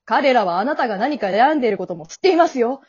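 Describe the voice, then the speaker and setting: A woman's voice: a little high, animated, fast talker, darkish tone, slightly rough, dull audio. A woman acting in an amateur radio drama as a polite, kindly male guide of the afterlife, speaking in an earnest, businesslike, reassuring way. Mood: earnest, probing.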